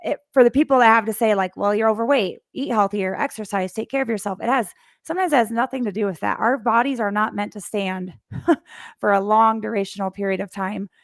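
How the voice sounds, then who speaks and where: A woman's voice: medium pitch, fairly even intonation, brisk, creaky, no echo, studio quality. A middle-aged woman hosting a podcast interview in a casual, talkative, encouraging way. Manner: warmly encouraging, talkative, laughs easily. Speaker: podcast host.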